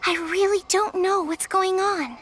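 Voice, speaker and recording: Very high-voiced, hesitant young yokai. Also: female voice, steady tone, unhurried, fairly bright tone, a little hiss or hum. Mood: earnest, confused. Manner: hesitant, earnest. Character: flustered young female yokai. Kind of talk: video game voice acting.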